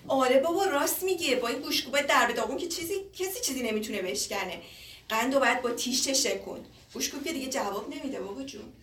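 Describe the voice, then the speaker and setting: A woman's voice: high-pitched, lively intonation, a bit bright. An actress playing a caring, worn-out young woman looking after her father, soothing and pleading, in a naturalistic drama. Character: caring, worn-out grown daughter of an old man. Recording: echoey, noisy room, distant mic.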